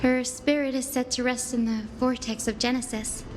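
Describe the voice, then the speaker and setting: A woman playing a gentle, thoughtful young woman in a fantasy game, speaking in a soft, musing, fond manner. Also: high voice, level tone, normal speed, noisy background, lightly acted. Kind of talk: voiced game dialogue.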